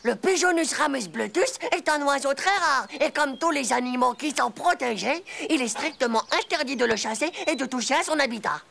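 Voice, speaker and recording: A woman voicing a cheeky, excitable boy of about ten in a French cartoon, with a scratchy, childish voice. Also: high voice, moderate pace, creaky, hardly any pauses, full character voice. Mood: eager, insistent. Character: cheeky, excitable schoolboy, around ten years old. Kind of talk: French animated cartoon acting. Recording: music behind the voice.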